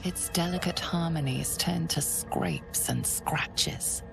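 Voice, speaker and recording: A poetic female storyteller in a dark fantasy game. Her pitch is very deep with a gravelly creak, and she takes the literary lines slowly and gravely. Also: over music, exaggerated voice. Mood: hushed, somber. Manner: measured, literary delivery. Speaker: narrator. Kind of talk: scripted game narration.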